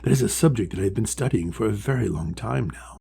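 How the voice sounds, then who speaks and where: A man voicing a huge, ancient, wise male sea creature from a storybook, friendly and measured, with a deep voice. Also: lively intonation, vocal fry, mellow, slight background noise. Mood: hushed, excited. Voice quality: deep, resonant. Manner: slow, measured, kindly pronouncements. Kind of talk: storybook character voice.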